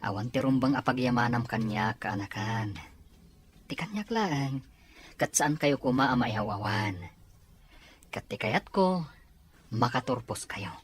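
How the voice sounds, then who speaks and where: A man playing a kindly, responsible uncle in an Ilocano radio drama, gentle and earnest in manner. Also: medium pitch, sing-song, measured pace, long pauses, slightly rough. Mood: tender, earnest. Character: kindly, responsible uncle, protective of his nephew.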